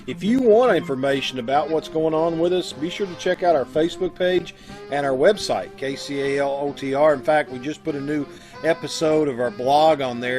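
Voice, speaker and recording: A man's voice: mid-range voice, a little mellow. A man co-hosting a theater troupe's show, chatting casually through announcements. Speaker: troupe member and co-host.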